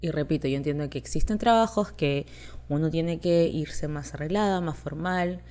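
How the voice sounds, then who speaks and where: A young woman hosts her own podcast, chatting through personal stories. Her voice sits low and sounds relaxed and mellow, never bright. She talks in a confiding, friendly way and laughs easily. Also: normal speed. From Peru and Chile, raised in Chile. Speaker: podcast host. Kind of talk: casual personal storytelling.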